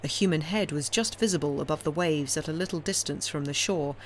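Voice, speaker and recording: A woman's voice: low-pitched, a little fast. Woman narrating literature over noticeable noise. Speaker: audiobook reader.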